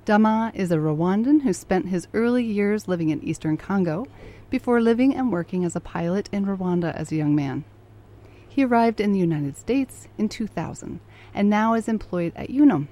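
A woman's voice: medium pitch, lively intonation, normal speed, mellow. A woman hosting an interview program, introducing and questioning her guest. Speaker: radio interview host.

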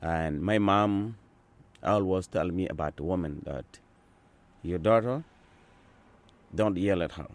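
A man in his sixties from Sudan, an Azande speaker talking in English, answering interview questions in an earnest, unhurried way with frequent pauses and restarts. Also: deep voice. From South Sudan. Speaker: interviewee, translator. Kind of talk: conversational interview.

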